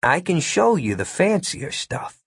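A man voicing a calm, cheeky male computer hacker in an audiobook, reasoning in a politely smug, persuasive way. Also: a little high, measured pace, gravelly, breathy edge, dry recording, clean studio sound, voice pushed up, full character voice. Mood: apologetic, assured. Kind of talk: audiobook character voice.

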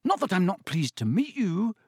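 A man's voice: sits high, huge pitch swings, normal speed, a little mellow, breathy edge, no room sound, voice pushed up, full character voice. A well-meaning young boy, played by a male narrator, in a slightly raised voice with a close, dry sound. He is polite and hesitant, puzzled but earnest, in storybook dialogue. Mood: awkward, polite. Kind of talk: audiobook character voice.

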